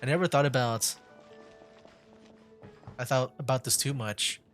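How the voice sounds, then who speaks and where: A man's voice: mid-range voice, fairly even intonation, measured pace, fairly bright tone, faint music behind. A man giving casual, rambling commentary as he streams a video game, talking conversationally.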